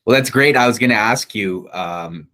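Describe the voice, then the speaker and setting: A man's voice: lowish voice, moderate pace, pushed and bright. A man hosting a conversational podcast interview in an agreeable, supportive manner. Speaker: podcast host.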